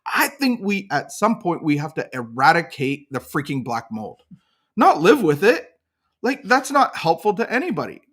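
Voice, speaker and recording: A man's voice: on the high side, sing-song, normal speed, a bit bright. A man co-hosting a recovery podcast in casual chat, talking in a preachy, emphatic way. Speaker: podcast co-host.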